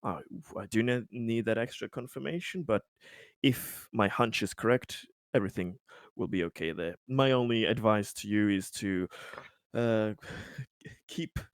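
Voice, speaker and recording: A man improvising in-character talk at a tabletop roleplaying game, speaking in a halting, hesitant way. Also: on the low side, dry recording, studio quality. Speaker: tabletop role-playing player.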